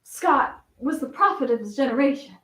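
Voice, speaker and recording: A flamboyant Southern belle flapper, acted by a woman in a high-pitched voice, holding forth in a dramatic stage monologue. Her Southern carries a wistful, coquettish theatricality. The sound is distant from the microphone, with plenty of room echo. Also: expressive, normal speed, sharp tone. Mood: guarded, brittle. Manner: dramatic, swinging from coy reminiscence to defiant outbursts.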